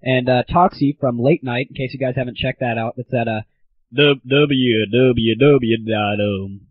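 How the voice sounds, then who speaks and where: A man's voice: mid-range voice, fairly even intonation, measured pace, slightly rough, no echo. A man talking casually as a comedic podcast host, in an irreverent, sweary, slangy manner. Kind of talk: casual comedic show talk. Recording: muffled phone-like lo-fi audio.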